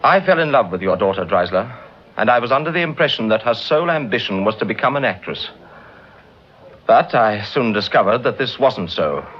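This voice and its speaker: A man playing a smooth, self-possessed suitor turned accuser, deliberate and sardonic, in vintage radio drama style. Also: mid-range voice, lively intonation, close to an everyday voice. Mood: earnest, bitter. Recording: muffled vintage radio recording with hiss.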